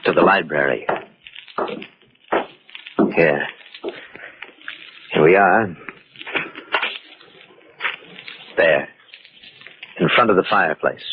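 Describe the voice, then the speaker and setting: Grave, deep-voiced accuser, deliberate 1940s radio mystery delivery, muffled old recording. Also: male voice, slight background noise. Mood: urgent. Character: Ben, grave, insistent party host accusing a friend.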